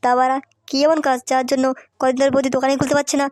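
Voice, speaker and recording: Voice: sits high, little pitch movement, very fast, no room sound, clean studio sound, lightly acted. A performer voicing brash, foul-mouthed young village men in a comic cartoon, in crude slangy banter over music. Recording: music behind the voice.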